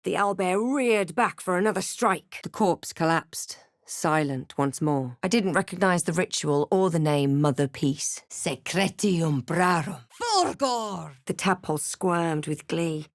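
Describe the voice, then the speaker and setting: Wary fantasy adventurer heroine, close and dry. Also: female voice, on the low side, expressive, unhurried, few pauses, dry recording. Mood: commanding incantation. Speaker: video game player character. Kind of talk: scripted game voice lines, narration and barks.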